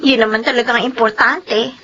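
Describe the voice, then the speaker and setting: An actress playing a sly, giggly young daughter in a Filipino radio drama, teasing and mock-innocent. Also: female voice, fairly high pitch, steady tone, moderate pace, sharp tone. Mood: gleeful, scheming. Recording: dull lo-fi radio sound.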